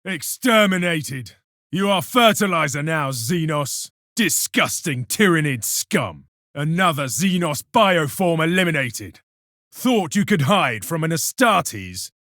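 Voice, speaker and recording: Proud, dramatically swooping space marine zealot. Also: male voice, fairly high pitch, very animated, slow pace, fairly bright tone, no room sound, studio quality, full character voice. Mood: disgusted scorn. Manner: declamatory, solemn, militant, commanding. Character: proud zealous armored space marine warrior, male, contemptuous of foes. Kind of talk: video game combat voice lines, theatrical declamation.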